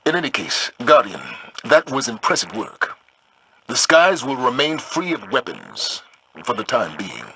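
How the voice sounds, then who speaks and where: An actor playing a grave, steadfast military commander in a video game, speaking in a solemn, measured, authoritative way. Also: male voice, medium pitch, wildly swooping pitch, vocal fry, sharp tone, a little hiss or hum, exaggerated voice, poor audio. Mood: approving, measured. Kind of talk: scripted video game voice acting.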